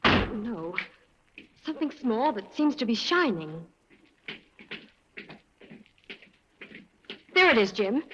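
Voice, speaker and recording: A woman's voice: on the high side, very animated, measured pace. An actress playing a loyal, worried young female assistant in a 1940s radio drama. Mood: alert. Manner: eager, worried. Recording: dull, noisy 1940s radio recording.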